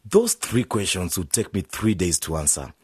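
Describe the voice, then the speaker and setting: A man's voice: deep voice, huge pitch swings, moderate pace, a little mellow, breathy edge, no echo, exaggerated voice. An actor playing a busy, slightly patronising male film director in a radio drama, explaining things emphatically. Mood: brisk.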